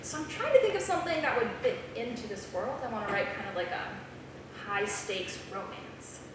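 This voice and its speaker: An eager, touchy college student, played by a woman at a live script reading. Her voice is fairly high and highly animated, heard in a cavernous echo. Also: normal speed. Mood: earnest. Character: eager, defensive female college student writer. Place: small theater, live stage reading. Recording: echoey theater, distant mic, clear noise.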